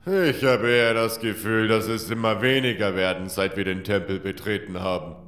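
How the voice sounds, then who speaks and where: A man's voice: on the low side, normal speed, a bit bright, natural voice. A man playing a confident, easygoing warrior in a fantasy fan audio drama. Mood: dry. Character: cocky, unflappable male warrior comrade. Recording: roomy echo, faint music behind.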